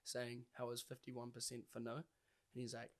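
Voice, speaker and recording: A man's voice: medium pitch, monotone, a little fast. A man with a New Zealand accent talking casually and informally, with plenty of slang, as a guest in a conversation. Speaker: podcast guest, hip-hop artist.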